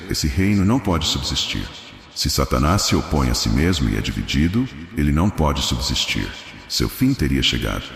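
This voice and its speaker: A man's voice: very deep voice, gravelly. A man with a Brazilian accent narrates a scripted religious story in a solemn, dramatic storytelling manner. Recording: echoey voice with long reverb.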